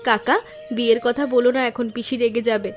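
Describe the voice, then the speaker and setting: Woman acting young girl, very high pitch, sweet, sentimental, earnest, cartoon dialogue, background music. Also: steady tone, normal speed, natural voice. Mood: eager, helpful. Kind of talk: animated story dialogue.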